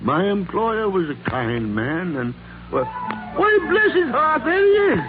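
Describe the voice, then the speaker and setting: Flustered old miser, wildly swooping voice. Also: male voice, high voice, huge pitch swings, slow pace, music in the background, full character voice. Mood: wistful, excited. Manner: flustered, stammering, repeating words. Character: anxious old miser, flustered and softening with regret. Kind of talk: 1940s radio drama acting. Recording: tinny 1940s radio recording.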